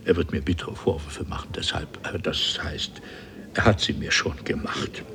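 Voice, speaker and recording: An actor playing a haughty, eloquent nobleman from an ancient civilization, telling his story theatrically and deliberately in a 1970s German radio play. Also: a bass voice, creaky, a bit bright, slight background noise, full character voice. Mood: lofty, explaining.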